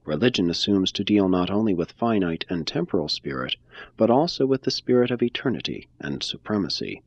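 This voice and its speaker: A man giving a measured, phrase-by-phrase scripted reading of a religious text. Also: low voice, animated, no room sound, highs cut off. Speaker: reader.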